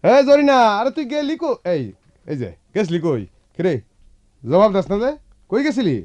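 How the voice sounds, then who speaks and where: Excitable, high-voiced rustic Bengali brother. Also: male voice, normal speed, dull audio. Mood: flustered searching. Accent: rural eastern Bengali. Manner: agitated, rambling, blustering. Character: excitable, doting older brother, rustic Bangladeshi villager. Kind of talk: radio play acting. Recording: music behind, radio drama production.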